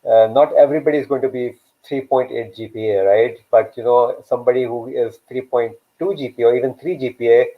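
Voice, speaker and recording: A man's voice: medium pitch, steady tone, a little fast. A man whose first language is Urdu hosts a live online talk, rambling and repeating words as he thinks. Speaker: host. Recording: tinny phone-like line.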